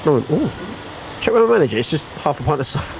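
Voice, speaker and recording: A man of forty tells pub stories in a chatty, self-mocking way. His voice sits a little high for a man, with a faint hum of noise behind it. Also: very animated, very fast, rough recording. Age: 40s. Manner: jokey storyteller, self-mocking about age. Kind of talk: casual chat.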